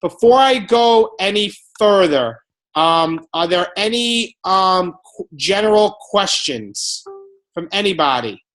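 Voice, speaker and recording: A man's voice: high-pitched, animated, slow, fairly bright tone, rough recording. Host type: man running a team call over video, peppy, motivational. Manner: upbeat, motivational. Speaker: team leader hosting a call.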